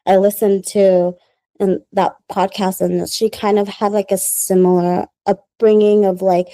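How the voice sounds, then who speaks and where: Chatty woman with a mellow, dry voice. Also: mid-range voice, little pitch movement, unhurried, no room sound. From Philippines. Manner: chatty and rambling, many "like"s, laughs often. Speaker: guest, small business founder. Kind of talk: casual interview answers.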